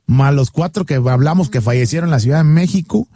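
A man's voice: a little high, fairly quick, mellow, dry recording. A man with a Mexican accent hosting a radio show in casual, joking banter, full of slangy exclamations. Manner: exclamatory, reacting with slangy interjections.

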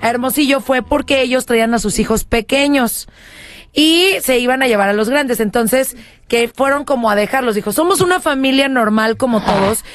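A woman hosting a casual entertainment chat show, talking fast and asking the audience questions. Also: a little high, no room sound. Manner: chatty, asks the audience questions. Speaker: show host.

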